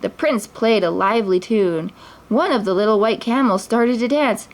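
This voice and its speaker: A woman's voice: mid-range voice, lively intonation, moderate pace. Woman reading a children's storybook. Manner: gentle, with small slips and restarts. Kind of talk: storybook read-aloud.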